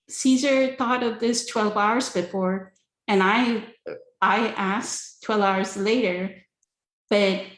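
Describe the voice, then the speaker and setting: A woman hosting an online spiritual question and answer session, speaking conversationally and earnestly, often checking in with her listeners. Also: mid-range voice. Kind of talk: spiritual talk, conversational teaching. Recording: echoey, roomy sound.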